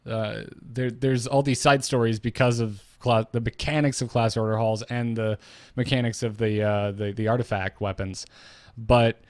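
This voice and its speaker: A man's voice: medium pitch, steady tone, normal speed, clear, resonant tone, dry recording. A man co-hosting a casual gaming podcast, opinionated and emphatic, rephrasing as he makes his case. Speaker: podcast co-host.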